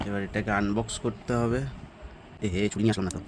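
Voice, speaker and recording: A man's voice: fairly low pitch, steady tone, quick pace. Noisy casual vlog, man. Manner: informal, thinking aloud in short remarks. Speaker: vlogger. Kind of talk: casual unboxing and product review chat. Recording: clearly noisy, ambient and vehicle sound.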